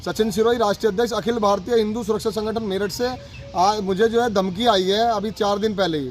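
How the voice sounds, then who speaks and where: A man giving an aggrieved, insistent, repetitive statement as an activist leader. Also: high voice. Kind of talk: spoken press statement. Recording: faint ambient background noise.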